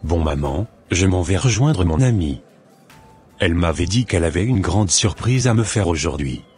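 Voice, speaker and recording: A man playing an earnest young man, low-voiced. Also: expressive, normal speed, darkish tone, faint music behind. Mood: polite, devout. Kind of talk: scripted cartoon dubbing.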